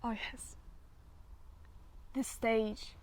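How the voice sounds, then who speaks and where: Casting: woman to play wounded young actress in classic stage drama, high voice, slow halting delivery, fragile but fervent. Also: level tone, a little mellow. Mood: distracted, fragile. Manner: halting, distracted, emotionally wavering.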